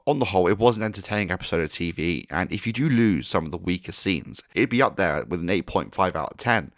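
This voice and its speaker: A man with a hoarse voice giving a frank, critical TV review. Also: sits low, expressive, fast, no echo, clean studio sound. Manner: frank and critical, mild swearing. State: hoarse, recovering from a sore throat. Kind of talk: opinionated casual review.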